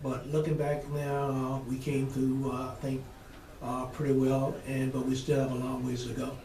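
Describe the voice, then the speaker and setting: A man of seventy answering oral history interview questions in a measured way, with frequent pauses and fillers. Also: medium pitch, completely flat delivery, dark tone, recorded from a distance. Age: 70s. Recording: roomy echo, faint noise.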